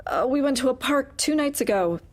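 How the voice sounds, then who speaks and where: Female office worker: slightly high voice, guarded, hesitant, short answers, dark comedy audio drama. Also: lively intonation, brisk. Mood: uneasy, resigned. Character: guarded, uneasy female office employee under questioning.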